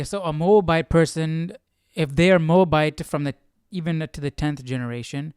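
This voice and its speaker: A man's voice: a little high, clean tone, no room sound, studio quality. A man hosting a casual Bible study stream, thinking aloud in a questioning way and often repeating and rephrasing himself as he reasons. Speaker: livestream Bible study host.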